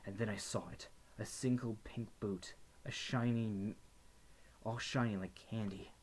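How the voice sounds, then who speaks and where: A young man giving a somber dramatic reading of first-person fiction, his phrases sometimes dropping to a hush. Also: on the low side, steady tone, measured pace, slight background noise. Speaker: student reader.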